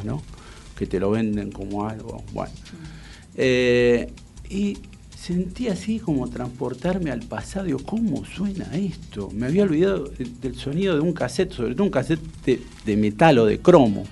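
A man with a Rioplatense Argentine accent hosting a radio interview, telling anecdotes in a casual, animated way and acting out what people said. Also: medium pitch, gravelly. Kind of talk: casual chat, storytelling.

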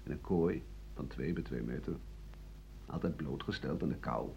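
An actor playing a bitter, aging exiled writer-doctor in a radio drama monologue, sardonic and halting, often sinking to a husky mutter. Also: male voice, rumbling low, a little mellow, slight background noise. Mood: grim, resigned. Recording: muffled 1980 radio broadcast.

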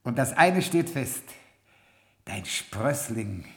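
Ruthless, irritable old troll king, radio drama: sharp, commanding, unhurried, in echoing reverb. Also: mid-range voice, animated. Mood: cold, ominous. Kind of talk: German radio drama acting.

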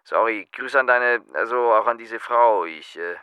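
An actor playing a man who leaves a stammering, halting message on an answering machine in a radio play. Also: medium pitch, clean tone, dry recording, dull audio, close to an everyday voice. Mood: flustered.

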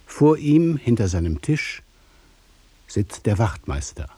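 A male radio play narrator with a dark, slightly husky voice. He reads scripted literary prose very slowly, in short deliberate phrases with pauses. The sound is dry and close. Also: fairly low pitch, big pitch swings, dry recording.

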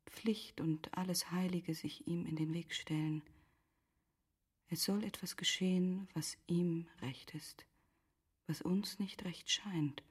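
A sorrowful, composed noblewoman in a radio drama. Her voice is lowish and extremely breathy, often close to a whisper, as she weighs her guilt in solemn, formal language. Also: a little mellow, heavily acted. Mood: bitter, fatalistic. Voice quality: very husky, breathy, half-whispered. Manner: solemn, measured, formal literary diction. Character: grieving, resigned noblewoman Charlotte, self-reproaching and composed. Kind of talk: literary radio drama acting.

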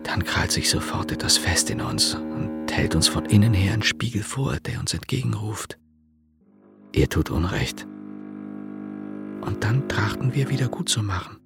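An actor playing a bitter, defiant homeless brother in a German radio play, declamatory and brooding with sudden outbursts. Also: male voice, fairly low pitch, lively intonation, moderate pace, vocal fry, over music. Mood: dark, brooding.

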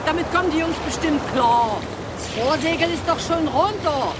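Casting: unruffled café waitress, radio drama, very high voice, casual tone, loud waterside noise behind. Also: female voice, expressive, normal speed. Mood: casual, reassuring. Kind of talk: German radio drama acting.

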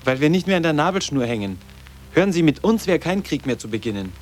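An actor playing a sarcastic, intellectual teenage schoolboy in a literary radio drama, with a clipped, lecturing, defiant manner. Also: male voice, fairly high pitch, big pitch swings, fast talker, soft background music. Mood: precocious, defiant.

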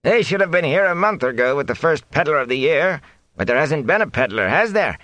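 A man's voice: fairly high pitch, very animated, fairly quick, a bit bright, no echo, voice pushed up. A man voicing a distrustful, grumbling old village thatcher in an audiobook, with a querulous manner.

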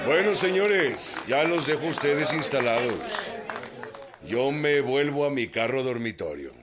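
A man playing a resolute revolutionary commander with a formal, measured delivery in a radio drama. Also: medium pitch, animated, a crowd in the background, natural voice. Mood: relaxed. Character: Pancho Villa, resolute northern revolutionary commander.

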